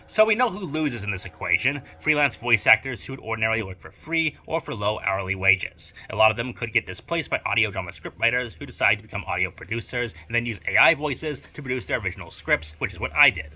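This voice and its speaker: A man presents a scripted monologue in a very bright, sharp-edged voice at a middling pitch. His intonation is lively and varied. He phrases things deliberately and emphatically, like an essayist making an argument. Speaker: video essayist and amateur audio drama producer. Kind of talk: scripted monologue to camera or mic.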